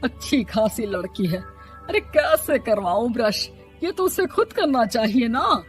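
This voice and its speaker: A scolding older mother-in-law in a family cartoon, played by a woman. She is sharp-tongued and exasperated, with a somewhat high voice and some music underneath. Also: big pitch swings, measured pace. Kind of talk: Hindi cartoon story dialogue.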